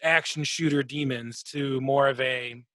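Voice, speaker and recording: A man with a medium-pitched voice gives casual, analytical gaming talk as a co-host. His delivery is halting as he searches for words, and the sound is close and dry. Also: steady tone, pushed and bright, no echo. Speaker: podcast co-host. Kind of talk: casual analytical chat about a video game.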